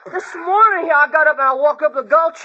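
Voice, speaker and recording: An actor playing a naive young backwoods prospector in a radio western, guileless and excitable, with a rural American accent. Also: male voice, very high-pitched, fast talker, heavily acted. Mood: wildly excited. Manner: excitable, guileless, rustic grammar. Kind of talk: old-time radio western acting. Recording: dull vintage radio recording.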